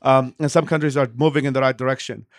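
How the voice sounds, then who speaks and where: A man from Iraq speaks as an in an interview. He talks quickly and at length, reworking his sentences as he explains. The sound is close and dry, with no room around it. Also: mid-range voice, flat delivery, no room sound. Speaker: interview guest, nonprofit leader.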